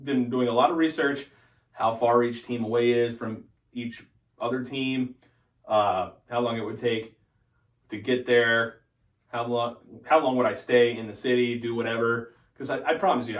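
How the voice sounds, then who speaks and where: A man's voice: medium pitch, moderate pace. A young adult man giving a casual, informal update as the host of a hobby channel, thinking aloud as he goes. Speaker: host of a hockey fan channel. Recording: echoey room, distant from the mic.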